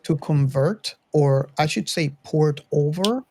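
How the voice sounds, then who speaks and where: A man hosting a podcast in a casual, chatty style, talking in a halting, meandering way with emphatic sentence openings. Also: on the high side, darkish tone, a little hiss or hum. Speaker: podcast host. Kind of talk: casual hobby chat.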